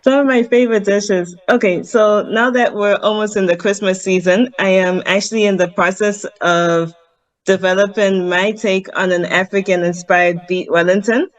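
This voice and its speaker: A woman's voice: mid-range voice, steady tone, normal speed, low-quality recording. Earnest woman from Zimbabwe, vegan chef. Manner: earnest, explains step by step with many ums. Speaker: vegan chef, interview guest. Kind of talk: remote interview answers. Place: home in Harare.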